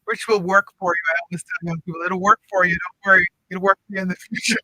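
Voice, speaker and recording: A woman's voice: fairly low pitch, fairly even intonation, quick pace, pushed and bright, no room sound, low-quality recording. Chatty woman radio host. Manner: chatty, laughs easily, stumbling restarts. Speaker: radio talk show host. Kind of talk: casual radio interview. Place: radio studio.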